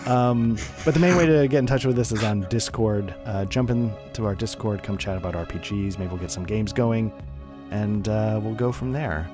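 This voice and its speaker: A man's voice: fairly low pitch, moderate pace, over music. A man hosting a tabletop game podcast, rambling casually and laughing often as he wraps up a session from home. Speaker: game master and podcast host. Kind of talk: casual post-session chat.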